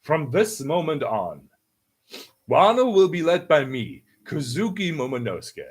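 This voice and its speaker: A man's voice: a little high, sing-song, measured pace, breathy edge, full character voice. A man reading as an earnest, resolute boy shogun, speaking in formal, declamatory speeches. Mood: resolute, proud.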